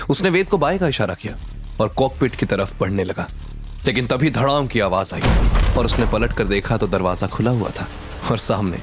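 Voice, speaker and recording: A man narrating a dramatic scripted audio series as its storyteller. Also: medium pitch.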